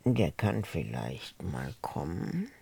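Gravelly, husky old farm woman. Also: rumbling low, very animated, slow pace, warm tone, full character voice. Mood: feeble, wistful. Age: older. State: weak, bedridden. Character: ailing, stubborn old village farm woman. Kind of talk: naturalistic radio drama acting.

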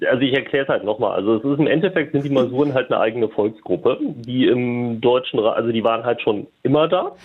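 A man's voice: mid-range voice, brisk, few pauses, slightly rough. Hesitant man on a tinny phone line. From Germany. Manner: rambling, hesitant, many fillers. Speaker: caller. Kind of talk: casual call-in chat.

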